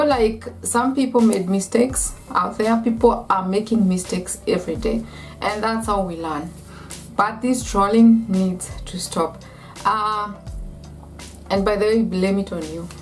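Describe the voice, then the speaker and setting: A woman's voice: medium pitch, a little mellow. Emphatic Kenyan woman vlogger. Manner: emphatic, repetitive, lots of "like". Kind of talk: opinion rant to camera.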